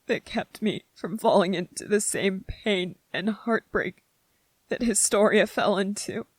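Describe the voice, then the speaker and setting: Slow, breathy, tender young man, acted out. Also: medium pitch, no echo. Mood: aching, sorrowful. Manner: tender, hesitant, halting, earnest. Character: gentle young man soldier, emotional, vulnerable, devoted to loved one. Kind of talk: intimate ASMR roleplay acting.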